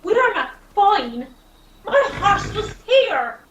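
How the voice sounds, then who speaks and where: A woman acting an indignant, scolding, sharp-tongued Irish racehorse owner in an amateur radio play. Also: extremely high pitch, sing-song, very slow, full character voice. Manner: scolding, demanding. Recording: roomy, distant mic with hiss.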